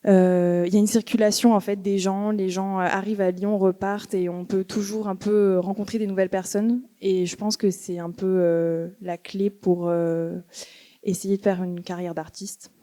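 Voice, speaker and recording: A young woman artist on a panel, giving a steady, methodical account with many fillers. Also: medium pitch, moderate pace. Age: young adult. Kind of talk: panel talk. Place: museum auditorium.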